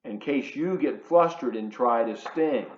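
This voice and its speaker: Male volunteer storyteller for children, mid-pitched, emphatic and teacherly, with chatty asides; roomy, slightly dull recording. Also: expressive, measured pace, a little hiss or hum.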